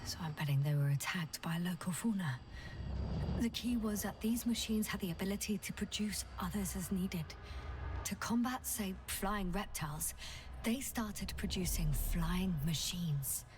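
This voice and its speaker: A woman playing a composed scientist in a video game, explaining with patient, measured and analytical precision. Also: lowish voice, slight background noise, natural voice. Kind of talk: video game dialogue acting.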